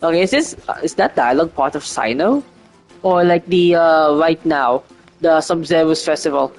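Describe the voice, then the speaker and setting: A man's voice: fairly high pitch, lively intonation, moderate pace. A man giving casual, hesitant gameplay commentary as a streamer, rambling and swearing freely over game music. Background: faint game music.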